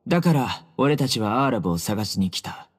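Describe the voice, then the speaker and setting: Young investigator, stern, calm, measured, commanding, anime game acting, faint music. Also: male voice, mid-range voice, breathy edge. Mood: stern, accusing.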